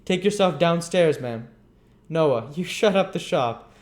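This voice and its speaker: A man's voice: a little high, animated, takes it slowly, pitched above the performer's own voice. A man voicing a pompous, indignant middle-aged parish beadle who blusters and moralizes sternly.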